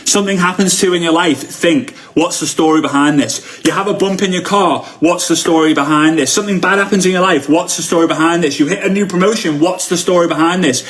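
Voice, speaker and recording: Man, Liverpool accent, slightly high pitch, motivational coach, live online training, excitable, emphatic, repetitive for effect. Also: quick pace, few pauses. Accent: Liverpool English. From Liverpool, United Kingdom. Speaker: network marketing coach.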